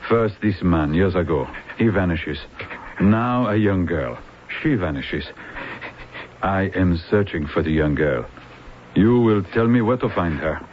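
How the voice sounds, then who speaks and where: A low-pitched, commanding police lieutenant with a French accent. He speaks in formal, deliberate phrases and presses persistently, in the manner of old radio drama. The recording is muffled and a little noisy. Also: animated. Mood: coaxing, pressing. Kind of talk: vintage radio drama acting.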